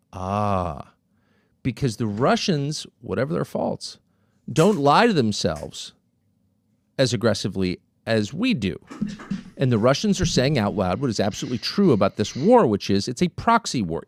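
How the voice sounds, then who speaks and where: Male commentary host, very animated delivery, sarcastic, dry close-miked sound. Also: medium pitch, no echo. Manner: emphatic, sarcastic, lively. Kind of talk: political commentary monologue.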